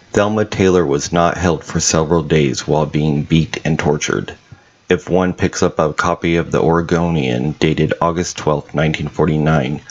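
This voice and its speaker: A man giving a measured, serious scripted voice-over narration about a local ghost story. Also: very deep voice, muffled recording. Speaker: paranormal investigator narrator.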